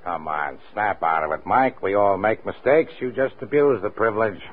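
An actor playing a gruff, skeptical police sergeant in an old radio crime drama, dry and needling. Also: male voice, lowish voice, normal speed, lightly acted. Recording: tinny old radio recording.

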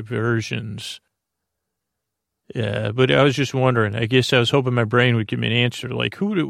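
A man with a gravelly, creaky voice drifts from thought to thought in an unhurried bedtime ramble. He trails off and restarts constantly, filling the gaps with soft "uh"s. The sound is dry and close, with no room around it. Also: medium pitch, no echo. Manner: meandering, many fillers and restarts. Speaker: podcast host. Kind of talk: rambling sleep-podcast monologue.

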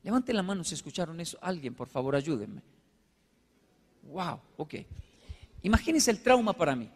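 A man from Colombia with a Colombian accent, giving a humorous storytelling talk as a motivational speaker, acting out little dialogues in an animated manner. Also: fairly high pitch, moderate pace, slightly rough, in a large hall. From Colombia, with Japanese background. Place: large hall with live audience.

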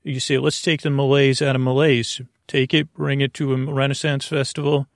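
A man with a creaky, gravelly voice at a middling pitch hosts a sleep podcast. He rambles haltingly, stopping and starting as he wanders off on tangents. The sound is dry and close, with no room around it. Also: level tone, no echo. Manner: hesitant, halting, trailing off into tangents. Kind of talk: rambling, meandering bedtime monologue.

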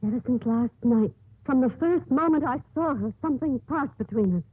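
A woman's voice: high-pitched, expressive, brisk, slightly rough. A woman playing an earnest, compassionate nun driven by inner conviction, in 1950s radio drama acting style. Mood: urgent, pleading. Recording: muffled, narrow-band 1950s radio broadcast.

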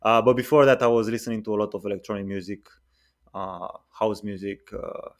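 A man in his twenties, a podcast guest chatting casually and relaxed, with many fillers and pauses. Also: lowish voice. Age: 20s. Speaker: guest, freelance software engineer.

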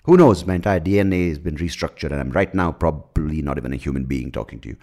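A man with a deep voice hosts his own podcast alone, swearing freely and mocking things as he goes. His talk rambles from point to point, and his pitch jumps around a lot. He keeps the tone blunt and sarcastic throughout. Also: animated, fast talker. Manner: breaks into mocking shouts and laughs at his own jokes. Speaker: podcast host. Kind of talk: casual solo podcast monologue.

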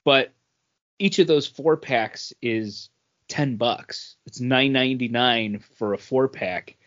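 A man with a medium-pitched voice talks casually as a podcast co-host. He offers opinions and qualifies them as he goes. The audio is a little lo-fi and muffled. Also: takes it slowly, a bit bright. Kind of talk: casual beer review and chat. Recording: dull, band-limited audio.

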